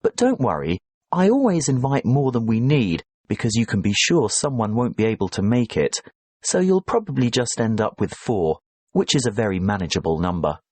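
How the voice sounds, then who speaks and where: A man playing a professor who briskly explains a task to his students in a scripted exam dialogue. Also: fairly low pitch, big pitch swings, darkish tone, slightly rough, no echo. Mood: explaining. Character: male professor guiding students, calm and brisk.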